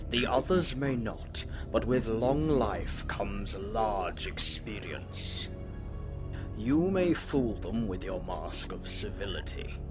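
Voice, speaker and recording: Casting: an unyielding elder professor, lowish voice, very slow, formal delivery, sci-fi radio play. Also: male voice. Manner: grave, deliberate, formal. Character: stern, long-lived male professor, principled and unyielding. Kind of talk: full-cast sci-fi audio drama.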